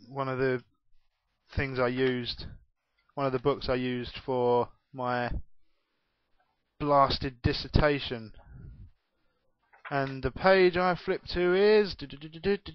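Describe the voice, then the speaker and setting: A man's voice: medium pitch, slow pace, clear, resonant tone, no echo. A young man in his twenties with a well-spoken southern English accent, chatting casually through a vlog Q&A in a self-mocking way and laughing often. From England. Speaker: gaming YouTuber. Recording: dull, dry home recording.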